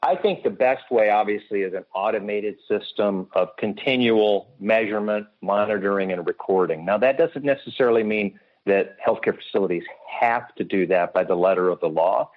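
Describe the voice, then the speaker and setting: A man with a fairly low voice speaks as an expert guest in an interview. His explanations are measured and technical, and the sound is thin and narrow, like a telephone connection. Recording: muffled phone-like line.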